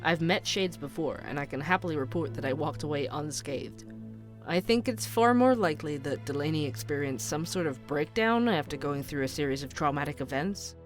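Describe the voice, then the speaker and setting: An actor playing a thoughtful, inquisitive true-crime podcast host, narrating a case methodically. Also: male voice, on the high side, animated, brisk, soft background music, natural voice. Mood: musing, analytical. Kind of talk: scripted investigative podcast narration.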